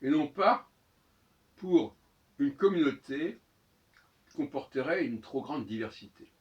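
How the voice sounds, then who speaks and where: A man gives an unscripted expository talk in a halting, didactic manner, often rephrasing and repeating key words. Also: medium pitch, lively intonation, dull audio. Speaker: speaker giving an opinion talk. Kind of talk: extemporaneous expository monologue. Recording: voice distant from the microphone.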